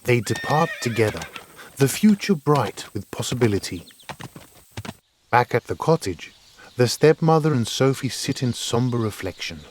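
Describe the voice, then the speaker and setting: A man's voice: medium pitch, darkish tone, a little hiss or hum. A man narrating a scripted fairy tale in an earnest, dramatic storytelling manner.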